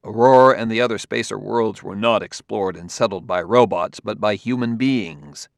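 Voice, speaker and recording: Man playing a cautious gravelly middle-aged detective. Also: lowish voice, normal speed, dry recording, clean studio sound. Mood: probing. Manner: quiet, cautious, probing. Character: patient middle-aged male police detective from Earth.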